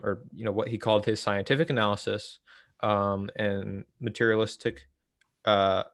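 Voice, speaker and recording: A man hosting a casual book discussion, explaining in a halting way as he thinks aloud. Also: fairly low pitch, a bit bright, no echo, clean studio sound. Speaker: host of a political book read-along.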